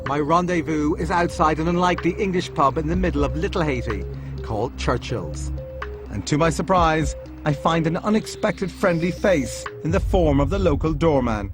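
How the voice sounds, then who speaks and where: A fairly high-voiced man reads scripted documentary narration in the first person, steady and measured. A prominent music score plays under his studio voice-over. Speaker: documentary presenter.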